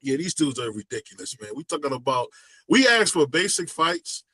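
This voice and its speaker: A man's voice: a little high, sing-song, moderate pace, sharp tone, husky, no echo. A man hosting a casual boxing talk show, emphatic and repeating himself. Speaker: host. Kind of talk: casual sports chat.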